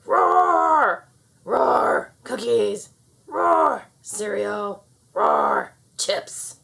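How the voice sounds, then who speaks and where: A woman performs a small, boastful storybook dinosaur with a high voice that swings wildly. The little creature is rowdy and shouty, throwing out roars with a gravelly edge. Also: very animated, very slow, exaggerated voice. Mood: excited, roaring. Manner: shouty, exclamatory roaring. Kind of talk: children's storybook character.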